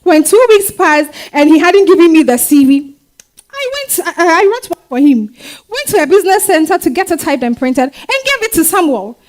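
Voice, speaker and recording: A woman with a Ghanaian accent playing a warm, weary young market-trader wife and mother in a staged reading, conversational and full of hums and exclamations. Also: extremely high pitch, sing-song, normal speed. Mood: polite, mildly exasperated. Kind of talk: dramatized stage reading, first-person storytelling.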